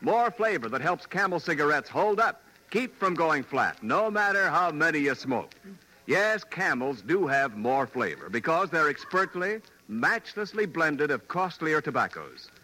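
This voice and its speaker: A man's voice: a little high, big pitch swings, measured pace, sharp tone, poor audio. A man announcing in 1940s radio commercial style with a polished, emphatic sales delivery. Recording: vintage radio broadcast, faint music.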